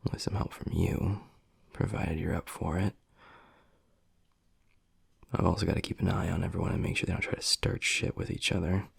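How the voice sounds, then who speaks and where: A man playing a gruff young werewolf pack alpha and protective, secretly tender boyfriend, murmuring bluntly in a husky voice in an intimate audio roleplay. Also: flat delivery, moderate pace, no echo, exaggerated voice. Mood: matter-of-fact. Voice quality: husky, gravelly, breathy low voice.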